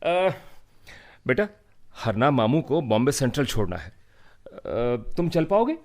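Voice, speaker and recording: A friendly uncle in a radio drama. His medium-pitched voice moves expressively, familiar and coaxing in tone. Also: sing-song, slow, a little mellow. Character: friendly, obliging uncle of the household. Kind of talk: Hindi radio play acting.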